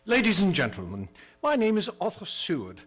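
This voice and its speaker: A man playing an earnest gentleman narrator in an old-time gothic radio drama, formal and solemn. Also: high voice, huge pitch swings, a little fast, slightly rough, exaggerated voice. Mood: grave, earnest.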